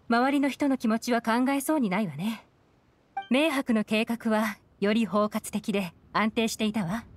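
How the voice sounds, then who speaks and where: A woman's voice: a little high, a little hiss or hum, natural voice. An actress playing a cool, shrewd young woman investigator in a Japanese game, composed, analytical and direct.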